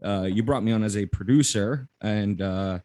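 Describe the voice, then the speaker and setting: A man's voice: fairly low pitch, normal speed, dark tone, dry recording. A man hosting a podcast, joking and rambling in a casual chat. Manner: joking, rambling, laughs often. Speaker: podcast host.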